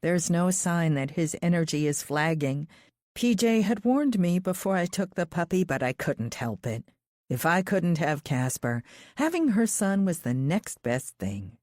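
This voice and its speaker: A woman's voice: on the low side, big pitch swings, a little slow, a little mellow, no room sound, studio quality. A woman reading a thriller novel aloud in the first person as scripted audiobook narration.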